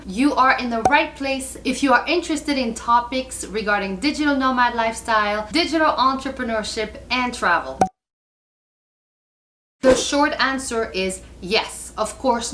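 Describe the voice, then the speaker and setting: A woman's voice: on the high side, noisy background. A young woman, born in Morocco and raised in Belgium, giving direct travel safety advice to camera in a conversational vlog. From born in Morocco, grew up in Belgium. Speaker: travel vlogger.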